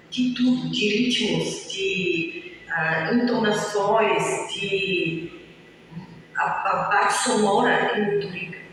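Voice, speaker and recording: A woman from Bulgaria, talking reflectively as a musician interviewee. She pauses often with drawn-out fillers. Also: mid-range voice, fairly bright tone. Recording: echoey, long-reverb room, mic far off, faint hiss.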